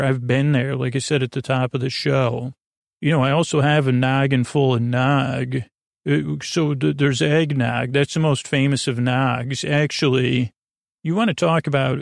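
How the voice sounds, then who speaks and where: A man hosting a bedtime podcast, rambling in a halting, tangential way with trailing unfinished sentences and a gravelly voice. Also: medium pitch, no echo, studio quality. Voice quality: creaky, gravelly. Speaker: podcast host. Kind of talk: rambling bedtime monologue to help listeners fall asleep.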